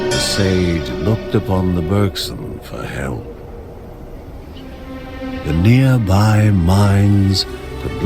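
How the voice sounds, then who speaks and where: A man playing a solemn storyteller, narrating a fantasy game like a storybook legend in measured, scripted phrases. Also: deep voice, exaggerated voice. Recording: music behind the voice. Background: music.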